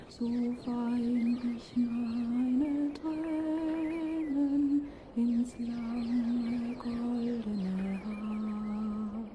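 A woman's voice: a little high, background noise. An actress playing a lonely, sorrowful young girl singing a lament in a fairy-tale audio drama.

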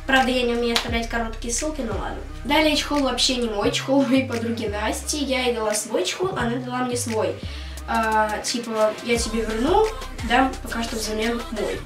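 A young teenage girl with a high voice talks in a casual, rambling vlog style. She is recorded a little away from the mic in an echoey small room, with music playing clearly behind her. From Russia. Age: 13 years old. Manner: informal, rambling, girlish teenage talk. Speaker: video blogger. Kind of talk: casual chatty vlog to camera. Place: small attic room at home. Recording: roomy echo, distant mic, music behind. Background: background music.

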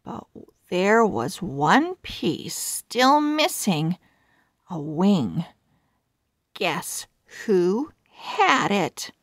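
A woman reading a children's book aloud as a storyteller, in a deliberate, expressive manner with careful enunciation. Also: medium pitch, dry recording, clean studio sound.

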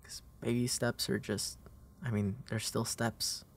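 A young man playing a gentle, protective, playful big brother in a comfort roleplay, speaking in a hesitant, soothing way. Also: medium pitch, flat delivery, gravelly. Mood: soothing, reassuring. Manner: hesitant, soothing, halting delivery. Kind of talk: intimate comfort roleplay audio.

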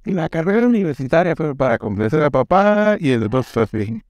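A man hosting a studio interview in an animated, emphatic way. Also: fairly high pitch, a little fast, no echo. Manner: animated, emphatic, lots of gestures. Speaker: host. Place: studio set with classroom backdrop. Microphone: lapel mic.

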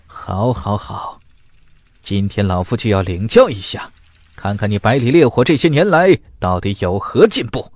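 A man's voice: fairly low pitch, lively intonation, normal speed, no room sound, lightly acted. A man voicing a grudging, bullying old cultivator in an audiobook, indignant, demanding and blustering. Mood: menacing. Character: grudging, bullying old male water-sect cultivator monster. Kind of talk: audiobook character acting.

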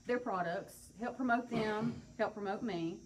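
A woman's voice: medium pitch, measured pace. A woman in her thirties with a Southern American accent, a boutique owner answering questions plainly in her large store, filmed on a phone a few steps away. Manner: plain, matter-of-fact answers. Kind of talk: casual live interview. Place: large indoor clothing boutique. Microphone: phone camera a few steps away. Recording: store hum, audible background noise.

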